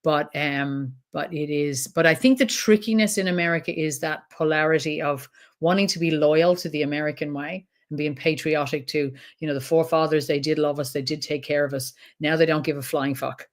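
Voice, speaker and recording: A woman with an Irish accent, a trauma expert talking as a guest in an interview, blunt and animated, swearing freely. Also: low-pitched, fairly quick. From Ireland. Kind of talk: podcast interview conversation.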